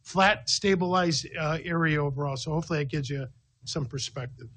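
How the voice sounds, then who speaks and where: A man with a notably high voice speaks as a company finance executive. He lays out his business briefing point by point, methodical and emphatic. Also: fairly quick, a bit bright. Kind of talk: business briefing, answering an analyst with numbered points.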